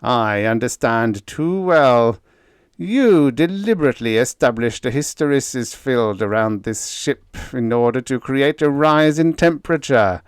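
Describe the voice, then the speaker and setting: A man's voice: fairly high pitch, a little slow, dry recording, studio quality, pitched above the performer's own voice. A man voicing a pompous, spiteful official who snarls and raves. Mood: angry. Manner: snarling, venomous. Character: spiteful, pompous male efficiency expert.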